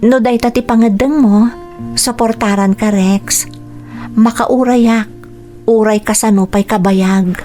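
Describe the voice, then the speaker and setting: A woman's voice: fairly high pitch, steady tone, a little slow, dark tone, faint music behind, close to an everyday voice. A woman playing a patient, devoted young sweetheart in a radio drama, affectionate and earnest. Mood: supportive, affectionate.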